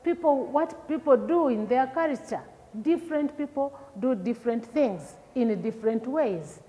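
A woman's voice: high-pitched, lively intonation, mellow. Ugandan woman teaching in an echoey room. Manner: explains slowly, asks pupils questions, repeats words. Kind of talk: recorded classroom lesson for pupils. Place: classroom with projector screen.

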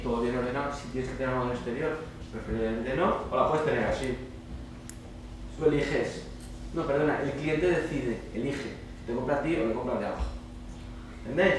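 Male conference speaker, Spain accent, mid pitch, chatty and emphatic, punctuating points with tag questions; very roomy sound with faint noise. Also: distant mic. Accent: Spanish (Spain). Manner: colloquial, emphatic, often checks with audience using tag questions. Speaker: speaker at a student congress. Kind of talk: informal conference presentation with slides. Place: indoor lecture hall, speaker standing on stage.

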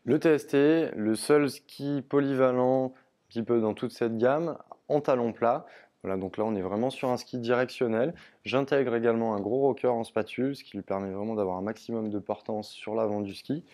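A young man, a ski sales advisor, presents a product range in a steady, informative way with frequent hesitation fillers. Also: mid-range voice, moderate pace. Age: young adult. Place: indoor shop studio in front of a ski wall.

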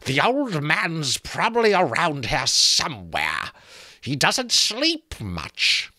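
Man doing a high, slow, creaking skull, spiteful and cackling, dry close mic. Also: huge pitch swings, bright, forceful tone, no echo, exaggerated voice. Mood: goading. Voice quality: creaking, rattling voice. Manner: teasing, drawn-out, slow delivery.

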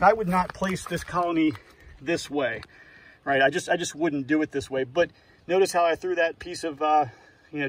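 A man's voice: on the high side, a little hiss or hum. Easygoing man explaining beekeeping gear outdoors. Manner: relaxed, practical, lots of "right?" and "uh" fillers. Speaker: beekeeper showing hive gear. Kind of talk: casual outdoor how-to walkthrough. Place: outdoor apiary yard on gravel.

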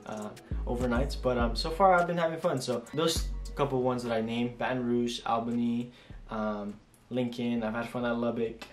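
A man's voice: medium pitch, reverberant. A man in his early twenties, a flight attendant chatting casually through viewer questions at home, rambling with lots of fillers and restarts. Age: 20s. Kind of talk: casual Q&A chat. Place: small home room indoors.

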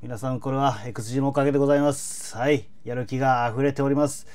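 A man's voice: medium pitch, steady tone, very fast, a little mellow, clear, resonant tone. A man in his forties hosting a reaction video, exclamatory and playfully formal. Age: 40s. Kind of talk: reaction commentary.

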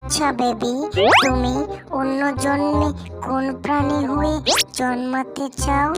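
A sharp-tongued young cartoon wife, played by a woman, speaks in a high, slow, scolding voice. The delivery is sassy and comic, with loud music running behind it. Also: level tone. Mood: sweetly sarcastic. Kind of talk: comic cartoon joke dialogue.

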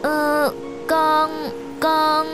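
An earnest little cartoon boy, high in pitch and timid. He speaks with soft-hearted affection and some hesitation, over clearly audible music. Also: completely flat delivery, extremely slow. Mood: ashamed. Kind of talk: Vietnamese cartoon dubbing.

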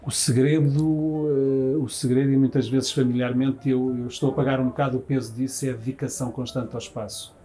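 A man's voice: mid-range voice, little pitch movement, a little fast, few pauses, dark tone, faint music behind. A man in his fifties with a European Portuguese accent, a restaurant manager answering interview questions at length, restarting phrases as he goes. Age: 50s. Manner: talks with his hands, many restarts. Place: restaurant dining room, indoors.

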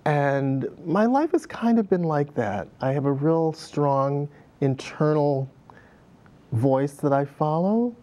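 A man's voice: on the high side, animated, slow pace, warm tone, muffled recording. Middle-aged male guest, reflective storyteller, easygoing, studio lapel sound. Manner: reflective storyteller, many fillers, laughs easily. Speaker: guest, dance director. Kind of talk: conversational interview. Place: TV studio set.

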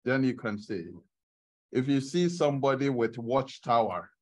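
A middle-aged man preaching a sermon to a congregation in a church meeting room, asking them questions as he goes, heard from a camera at the back. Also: medium pitch. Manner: unhurried, asks the congregation questions. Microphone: far camera at the back of the room.

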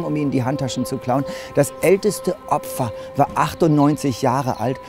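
A man in his forties giving a reporter's journalistic account of a court case. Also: a little high. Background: music bed.

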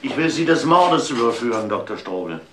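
An actor playing a persistent, shrewd male police inspector in a German crime radio drama, probing with dry, deliberate insinuation. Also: mid-range voice, animated, a little hiss or hum, natural voice. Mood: pressing.

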